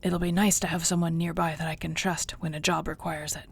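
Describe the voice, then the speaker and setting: A woman playing an affectionate orc warrior girlfriend in an intimate audio roleplay, speaking softly and tenderly with a husky voice. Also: lowish voice, little pitch movement, slight background noise. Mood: warm, hopeful. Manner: soft, tender, unhurried, often near a whisper.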